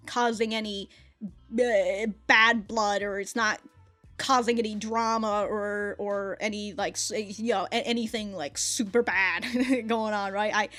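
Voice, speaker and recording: A woman in her thirties reacting and reviewing in a casual, unfiltered way, her voice sitting a little high. She swears freely, laughs often, and trips over restarts and stammers. Faint music plays underneath. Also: a bit bright. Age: 30s. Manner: blunt and profane, laughing often; halting, with many restarts and stammers.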